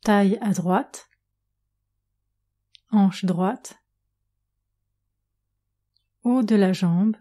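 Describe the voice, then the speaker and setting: A woman yoga nidra instructor guiding a relaxation meditation in a calm, measured manner with long pauses. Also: mid-range voice. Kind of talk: guided relaxation meditation narration.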